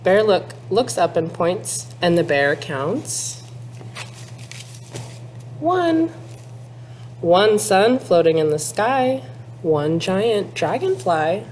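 A woman in her thirties, a teacher, reading a picture book aloud to young children in a sing-song, deliberate way with exaggerated emphasis. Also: lowish voice, very animated, darkish tone, a little hiss or hum, rough recording. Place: small classroom, indoors.

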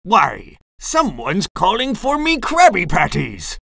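A money-loving old crab boss from a storybook put on by a woman reader. He blusters and orders in a gruff, piratical manner, lifted in pitch and very animated. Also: a little slow, dry recording, clean studio sound, full character voice. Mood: surprised. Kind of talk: children's storybook character voice.

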